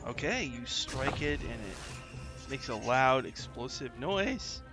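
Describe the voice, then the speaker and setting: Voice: medium pitch, animated, slow pace, over music. A game master narrating a tabletop fantasy game in a casual, conversational, improvised way.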